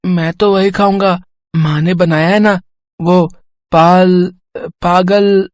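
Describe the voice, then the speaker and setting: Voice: medium pitch, fairly even intonation, slow, dry recording, close to an everyday voice. A performer acts out an eager little village boy in a cartoon story. He sounds innocent and keen, recorded dry and close. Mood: eager, delighted.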